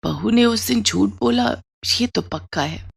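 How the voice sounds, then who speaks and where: A woman voicing a blind elderly mother-in-law in a story, anxious, suspicious and plaintive, muttering slowly. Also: on the high side, gravelly, slightly rough, exaggerated voice. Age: older.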